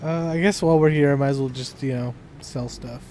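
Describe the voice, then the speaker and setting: A man's voice: medium pitch, fairly even intonation, a little fast, warm tone, slight background noise. A man chatting casually and playfully as he plays a co-op video game with friends. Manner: joking, playful. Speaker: co-op gamer. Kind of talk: casual gameplay chat.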